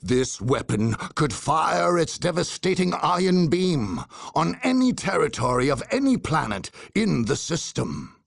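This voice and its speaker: A solemn war chronicler, gravelly and husky, with dramatic swings of intonation. He narrates a game story in an ominous, grave manner, recorded close and dry over faint music. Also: a little high, very animated, unhurried, dry recording, heavily acted. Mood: solemn, foreboding.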